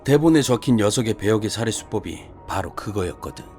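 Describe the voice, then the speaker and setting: Man acting as a gruff, blunt senior detective. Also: on the low side, animated, brisk, a little mellow, faint music behind, natural voice. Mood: grave, emphatic. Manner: colloquial, emphatic, scolding storyteller. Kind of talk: dramatized horror short story reading.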